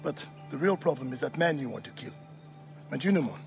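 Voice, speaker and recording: An actor playing a warm, teasing village friend of a warrior in a video game, speaking expressively and familiarly. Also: male voice, fairly high pitch, animated, a little fast, vocal fry, over music. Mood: earnest, worried. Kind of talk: video game cutscene acting.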